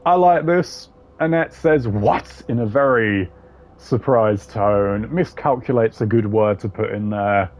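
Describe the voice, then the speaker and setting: A man with a lowish voice presents casual gaming commentary as a video host. He talks very slowly, with a dry, sardonic manner and the odd swear word. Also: a little mellow. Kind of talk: casual tier-list commentary.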